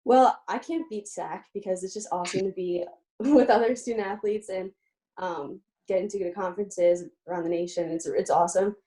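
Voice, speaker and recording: A woman's voice: mid-range voice, normal speed, mellow. A young woman from Pennsylvania, a college student-athlete and guest on an interview show, talking eagerly and chattily with frequent "um" fillers. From Lebanon, Pennsylvania, USA. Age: young adult. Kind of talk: casual interview.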